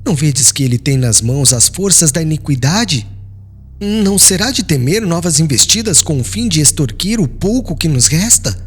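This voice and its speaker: Gentle young Hebrew man voiced by a male narrator, respectful and earnest, slightly rough voice, expressive audiobook pleading. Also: on the high side, moderate pace, faint music behind, pitched above the performer's own voice. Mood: alarmed pleading. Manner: earnest, humble, imploring. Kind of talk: dramatized audiobook reading.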